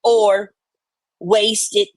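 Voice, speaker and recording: A woman's voice: medium pitch, little pitch movement, drawn-out words, no echo. A woman co-host doing irreverent, sweary dramatic readings of online comments mixed with banter.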